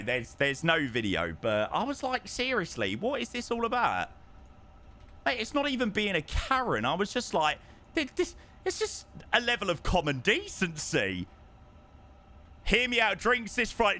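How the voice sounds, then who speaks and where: A young man chatting casually to his live-stream viewers, telling stories with lively, animated delivery and answering chat. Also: high voice. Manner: chatty, animated storytelling, reads chat aloud. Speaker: flight sim streamer.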